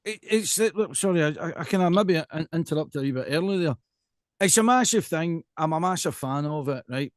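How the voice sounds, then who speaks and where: A Scottish man with a fairly high-set voice hosts a casual podcast chat, recorded dry and close. He rambles emphatically through his questions and keeps checking that he is being followed. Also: lively intonation, brisk, no echo. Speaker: podcast host.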